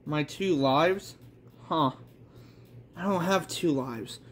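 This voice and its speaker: A man's voice: sits high, a little mellow. A man voicing a defiant, smirking young woman prisoner from a manga story, taunting and foul-mouthed. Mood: annoyed, defiant.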